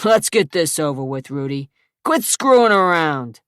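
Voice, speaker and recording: Audiobook heavy: woman reader as a snarling, bullying big man, loud and slow, dry sound. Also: low voice, big pitch swings, clean tone, no echo. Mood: menacing. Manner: snarling, barking orders. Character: big brutal male attacker, bullying.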